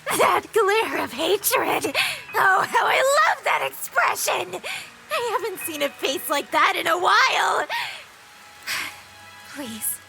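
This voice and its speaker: Shrill, sadistic, gloating villainess. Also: female voice, bright, forceful tone, exaggerated voice, low-quality recording. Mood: gleeful, crazed. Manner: theatrical, mocking, gloating. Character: sadistic, gleeful villainess, an otherworldly being who toys with prey. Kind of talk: fantasy audio drama acting.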